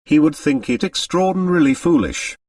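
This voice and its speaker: A man plays a wealthy young wife in a storybook, distraught and full of dread. She confides dramatically, with a lively swooping pitch, heard a little away from the microphone. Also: a little fast, gravelly, no echo, clean studio sound, far from the mic. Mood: anxious. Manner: agitated, dramatic, confessional.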